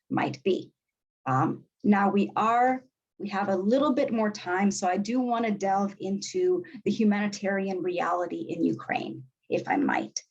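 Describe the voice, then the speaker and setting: A woman moderating an academic panel, speaking in a measured, articulate and formal way. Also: medium pitch, gravelly, lo-fi sound.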